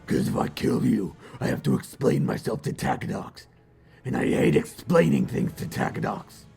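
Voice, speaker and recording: Man playing a very gravelly, sardonic sea warlord. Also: lowish voice, sing-song, moderate pace, mellow, faint music behind, heavily acted. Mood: scornful. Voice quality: gravelly, growling. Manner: sarcastic, mock-casual threats. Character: sardonic, threatening crab-army sea warlord, a tough thug. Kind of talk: fan dub animation acting.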